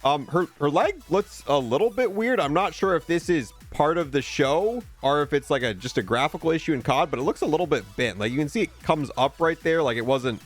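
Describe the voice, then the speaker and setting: A man's voice: fairly high pitch, animated, a little fast, few pauses, faint music behind. A man hosting a gaming video, giving casual showcase commentary on a video game.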